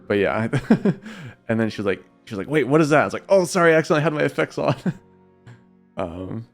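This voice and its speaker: A young man with a slightly raspy voice, casually answering viewer questions on a livestream in a rambling, filler-heavy way. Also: medium pitch, expressive, fairly quick, soft background music. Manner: rambling, loose, many fillers and repeats.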